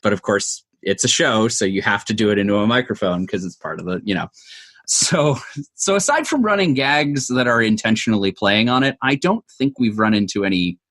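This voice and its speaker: A man with a lowish voice answers interview questions in a conversational way. He explains his points thoughtfully, adding asides as he works through them. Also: expressive, a little fast, fairly bright tone. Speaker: interview guest, audio drama maker.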